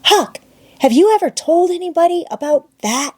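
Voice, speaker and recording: An earnest, worried young boy from a storybook, played by a woman narrator. The voice lifts high with eager questions and speaks in folksy rustic dialect, in a soft-toned, dry recording. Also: expressive, moderate pace, no room sound. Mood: nervous. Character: Tom Sawyer, anxious, earnest young boy.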